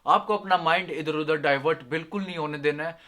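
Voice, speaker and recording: Bright-voiced young man giving exam tips. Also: on the high side, fast talker. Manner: friendly, addresses viewers as "yaar" and "doston", mixes English phrases. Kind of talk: casual spoken tutorial.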